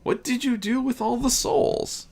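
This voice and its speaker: A woman's voice: fairly high pitch, steady tone, measured pace, vocal fry, darkish tone, pitched above the performer's own voice. A woman voicing a theatrical mad male prince and wary father in a story, proclaiming grandly and easily flustered. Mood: stunned. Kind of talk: fan fiction story reading.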